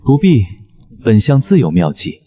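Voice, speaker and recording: A man playing a proud, sharp-tongued nobleman in an animated ancient court drama. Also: mid-range voice, normal speed, breathy edge. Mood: proud, wry. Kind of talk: Chinese animated period drama acting and narration.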